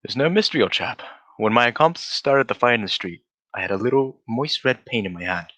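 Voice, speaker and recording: An actor playing a shrewd, confident gentleman detective in old-style radio drama, politely explaining himself in an assured manner. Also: mid-range voice, lively intonation, brisk. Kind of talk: 1940s radio drama acting.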